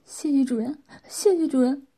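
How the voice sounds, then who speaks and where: A woman's voice: sits high, normal speed, warm tone, pitched above the performer's own voice. A woman voicing a timid, submissive servant who pleads and stammers in an audiobook story. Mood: meek, grateful. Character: grovelling, timid servant begging the master.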